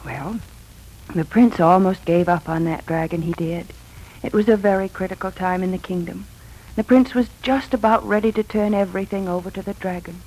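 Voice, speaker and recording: A woman's voice: medium pitch, moderate pace, darkish tone, a little hiss or hum, natural voice, low-quality recording. An actress playing a devoted, emotional adoptive mother in the earnest style of 1940s radio drama. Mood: tender storytelling. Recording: old 1940s radio recording.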